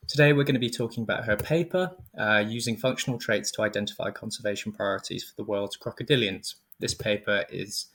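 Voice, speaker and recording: A man's voice: fairly low pitch, vocal fry, a bit bright, husky. A man hosting a podcast interview, asking friendly questions with a few fillers. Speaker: podcast host, assistant editor.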